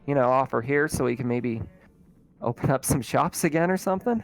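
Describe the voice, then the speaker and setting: Tabletop game colony official, voiced by a man: weary, needy, hesitant, mid-pitched. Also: faint music behind, natural voice. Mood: hesitant, hopeful. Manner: hesitant, halting requests.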